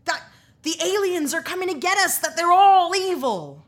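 An actress in a sci-fi audio drama playing a principled, stubborn, guarded heroine, earnest and blunt. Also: female voice, very high voice, animated, normal speed. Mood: indignant.

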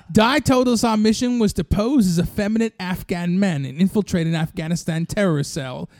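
Male co-host, high voice, dry close mic, breezy mock-earnest improv narration. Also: normal speed, a little mellow, dry recording. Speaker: podcast co-host. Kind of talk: improvised comic storytelling.

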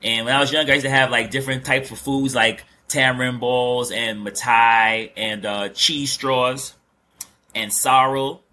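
Bright-voiced joking man. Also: medium pitch, steady tone, unhurried, a little hiss or hum. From Guyana. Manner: teasing, rambling, joking. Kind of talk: casual chat.

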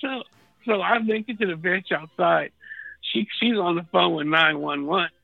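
A man with a raspy voice, thirsty and unfed in a hospital room, telling a story in a laid-back, slangy way. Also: high-pitched, moderate pace, no echo, phone-quality sound. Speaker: guest calling in from a hospital bed. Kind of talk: casual storytelling chat.

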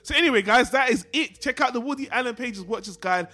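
A man's voice: very high-pitched, fast talker, pushed and bright. A man hosting a casual film discussion podcast, talking in a rambling, digressive and opinionated way. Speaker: podcast host.

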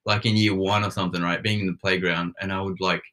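A man's voice: low-pitched, flat delivery, fast talker, sharp tone. A young man in his twenties co-hosting a casual podcast chat, rambling and self-deprecating, often trailing off mid-thought. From Nepal. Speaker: podcast co-host.

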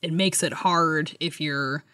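A woman co-hosting a podcast, chatting casually in a giggly, halting way. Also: sits low, fairly even intonation, bright, forceful tone. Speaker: podcast co-host. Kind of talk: casual book review chat.

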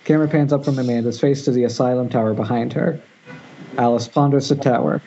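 A man's voice: mid-range voice, steady tone, quick pace, dark tone. A man narrating screenplay stage directions at an amateur table read, now and then stumbling and rereading words. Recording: muffled internet call, faint noise.

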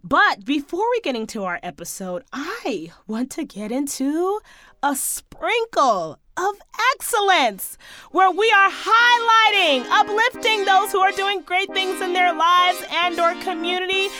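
A woman hosting her own podcast in a sassy, chatty way, talking straight to her listeners, her voice a little hoarse. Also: extremely high pitch, expressive, a little slow, bright, forceful tone, faint music behind. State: slightly hoarse, losing her voice. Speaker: podcast host. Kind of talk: casual solo podcast chat.

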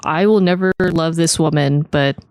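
A woman's voice: low-pitched, steady tone, normal speed, darkish tone, smooth, no room sound, studio quality. A woman co-hosting a podcast, chatting informally and bluntly, with some swearing. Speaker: podcast co-host. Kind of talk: casual anime discussion chat.

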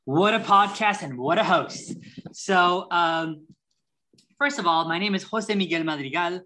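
A man's voice: high voice, a little slow, bright, forceful tone, slight background noise. A man in his twenties from Ohio, talking as a podcast guest in an eager, chatty way with frequent restarts. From Ohio, United States. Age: 20s. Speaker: guest, youth caucus chair. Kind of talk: casual interview talk.

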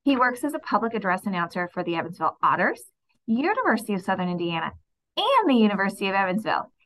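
A woman's voice: medium pitch, big pitch swings, fast talker, fairly bright tone, poor audio. An American woman hosting an interview, introducing her guest by reading out a short biography.

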